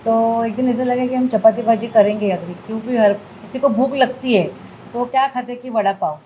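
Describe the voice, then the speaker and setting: A woman's voice: fairly high pitch, moderate pace, mellow, highs cut off, a little hiss or hum. An older woman who runs a restaurant, answering questions in a homely, plain-spoken way with many fillers, recorded in a restaurant dining room. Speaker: restaurant owner. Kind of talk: casual interview answers.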